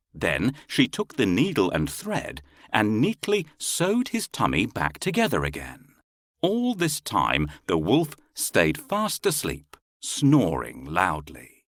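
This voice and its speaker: A man's voice: lowish voice, no room sound, clean studio sound. A man narrating a children's fairy tale as a scripted storyteller.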